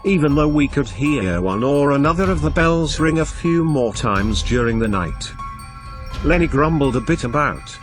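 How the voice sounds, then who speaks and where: A man reading a scripted first-person horror story as an expressive narrator. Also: fairly high pitch, normal speed. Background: background music.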